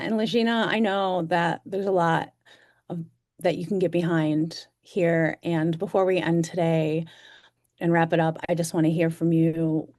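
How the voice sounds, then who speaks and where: A woman's voice: fairly low pitch, a little mellow. A woman hosting a panel discussion, upbeat and effusive, thanking guests and announcing events. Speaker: host.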